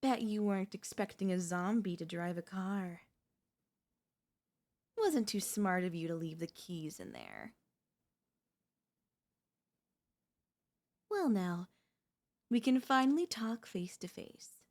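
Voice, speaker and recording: A woman's voice: mid-range voice, huge pitch swings, measured pace, a little mellow. A woman playing a chatty, cheeky female zombie in a comedic audio roleplay, breezy and falsely friendly. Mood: gloating, playful. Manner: breezy coaxing, falsely friendly, awkward backpedalling.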